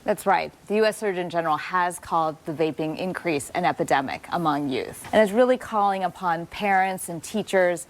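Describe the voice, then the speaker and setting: A woman doctor, a guest expert on a TV segment, explaining things in a calm, clear, explanatory manner. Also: fairly low pitch, a little fast, hardly any pauses. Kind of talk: expert interview.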